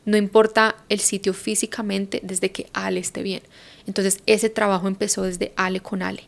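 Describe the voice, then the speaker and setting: A woman's voice: medium pitch, level tone, moderate pace, gravelly. A Colombian woman in her thirties, a podcast guest talking reflectively and thinking aloud with lots of fillers. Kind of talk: casual conversation.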